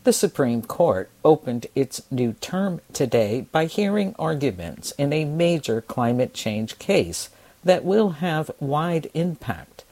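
A woman news anchor reading scripted radio headlines in a measured, formal delivery. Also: sits low, sing-song, warm tone.

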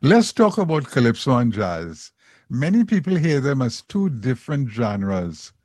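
A man's voice: mid-range voice, sing-song, measured pace, a little mellow, dry recording, studio quality. A man interviewing a musician, asking questions and giving frequent short affirmations.

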